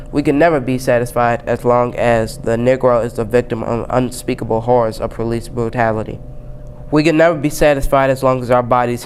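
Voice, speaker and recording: A male student reading a famous speech aloud. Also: medium pitch. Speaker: student reader. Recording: noisy amateur recording.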